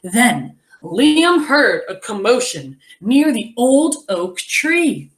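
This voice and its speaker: A woman teacher reading a children's story aloud expressively, pausing to explain and wonder aloud. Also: fairly high pitch, big pitch swings, poor audio. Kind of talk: animated children's read-aloud with asides.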